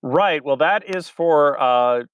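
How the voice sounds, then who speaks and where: A man's voice: on the high side, slow pace, clean tone, lo-fi sound. A man in his forties, a theater director, answering interview questions in a chatty, rambling way with many fillers.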